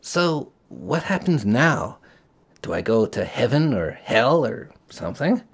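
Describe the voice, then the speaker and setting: A man's voice: medium pitch, sing-song, slow, breathy edge, full character voice. A man voicing a bewildered, anxious middle-aged man who has newly died, with a hesitant, questioning manner, in a storybook reading. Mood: puzzled, questioning. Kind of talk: storybook character voice.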